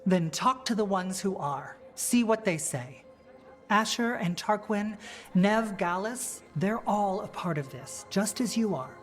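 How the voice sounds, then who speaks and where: A woman playing a principled female politician and rebel leader in a fantasy video game, measured, firm and earnest. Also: mid-range voice, animated, soft background music, close to an everyday voice. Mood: calm, encouraging. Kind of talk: dramatic video game dialogue acting.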